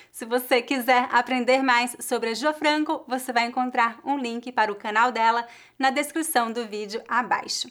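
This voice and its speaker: A woman host who teaches languages, speaking in a fairly high voice. She sounds friendly and encouraging, often checking in with the listener as she talks to her guest and to her viewers. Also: a bit bright. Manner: friendly and encouraging, with frequent "né?" tags. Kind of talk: interviewing and closing a video.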